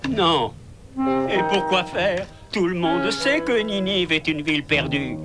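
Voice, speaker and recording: An actor voicing a cranky, stubborn, self-righteous prophet in a cartoon, grumbling and protesting. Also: male voice, high voice, measured pace. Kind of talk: animated cartoon dubbing. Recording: loud music behind the voice.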